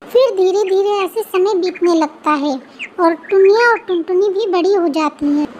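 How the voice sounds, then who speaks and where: A woman's voice: very high voice, moderate pace, darkish tone, slight background noise. A woman storyteller reading scripted narration for a children's story.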